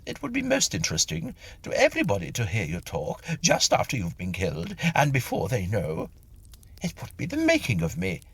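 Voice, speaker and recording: A man's voice: fairly low pitch, wildly swooping pitch, a little fast, a bit bright, husky, no echo, voice pushed up, heavily acted. A man voicing a whimpering, wheedling female medium, a fraud, in a storybook reading. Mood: eager wheedling. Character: sly middle-aged female fraudulent medium, whimpering and pleading.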